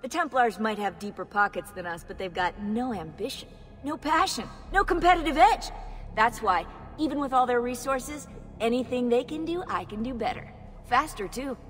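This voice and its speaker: Woman doing a perky young techie gal, bit high, bragging, echoey, game cutscene. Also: expressive, normal speed, soft background music, lightly acted. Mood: proud, eager. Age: young adult. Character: perky, boastful young female tech whiz. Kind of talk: video game cutscene acting.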